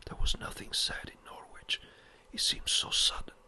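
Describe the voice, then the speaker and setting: Brief: fussy elderly country parson, clipped and hurried, whispered by the narrator. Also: sharp tone. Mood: brisk. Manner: brisk, a little impatient. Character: fretful elderly village rector, a man.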